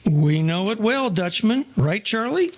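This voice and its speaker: A man acts out a polite gangland hanger-on in an audio drama. The voice is high and swoops expressively, with wry, mock-formal deference. There is slight noise behind it. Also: sing-song, a little slow, heavily acted. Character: polite, wry male Broadway hanger-on among gangsters. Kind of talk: audio play in 1940s Runyon slang.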